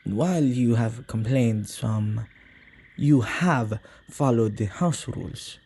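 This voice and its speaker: Male witch, played by a man for ASMR roleplay: dark, husky, intimate, possessive but soft-hearted, old-fashioned wording. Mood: gentle, apologetic. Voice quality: low, husky, creaky voice. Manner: halting, gentle, slightly archaic phrasing.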